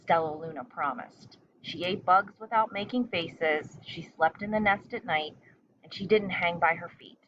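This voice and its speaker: A woman's voice: lowish voice, a little slow, a bit bright, far from the mic, a little hiss or hum. Woman reading a children's story, slightly dull sound. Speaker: storybook reader. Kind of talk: children's story read-aloud.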